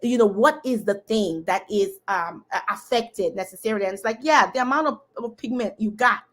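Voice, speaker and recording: A woman's voice: medium pitch, normal speed, a bit bright. A woman hosting a live discussion, talking casually and emphatically, laughing often and addressing her audience directly. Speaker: host.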